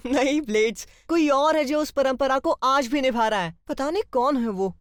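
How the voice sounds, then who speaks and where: Friendly, higher-voiced young townswoman. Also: measured pace, dry recording, clean studio sound. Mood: explaining. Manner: explanatory storytelling. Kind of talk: animated series dub. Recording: clear music behind the voice. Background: music.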